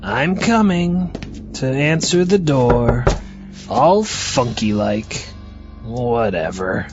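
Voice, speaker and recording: A man's voice: medium pitch, expressive, extremely slow. A man playing a bored, dismissive, sullen boyfriend in a comedy audio drama, with a drawling delivery. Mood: grudging. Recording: muffled, with music behind.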